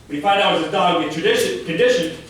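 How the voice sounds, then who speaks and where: A man's voice: high-pitched, animated, racing, a bit bright, a little hiss or hum. A young man from Minnesota with a Minnesotan accent, performing a self-deprecating stand-up comedy set with crowd work. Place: comedy club stage. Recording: echoey club audio from a distance.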